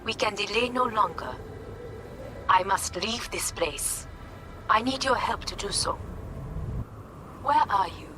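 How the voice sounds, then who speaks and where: An actress playing a composed, commanding corporate heiress in a video game, speaking in measured and very formal sentences. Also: female voice, medium pitch, expressive, music in the background. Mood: cool, resolute. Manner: measured, formal, uncontracted speech.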